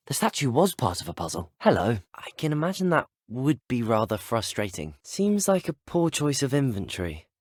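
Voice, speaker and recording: A polite, brave teenage wizard student with a British accent, speaking in scripted game dialogue. His husky voice moves in lively swings of pitch, very close and dry. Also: dry recording. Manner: polite, well-spoken, formal. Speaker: video game player character.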